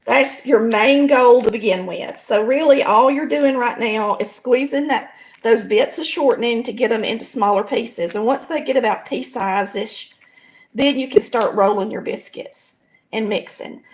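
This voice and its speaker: Raspy Southern woman. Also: a little high, moderate pace, rough recording. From American South. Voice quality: raspy, hoarse voice. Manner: folksy, chatty, explains step by step. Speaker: home cooking show host. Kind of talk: casual cooking demonstration. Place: home kitchen.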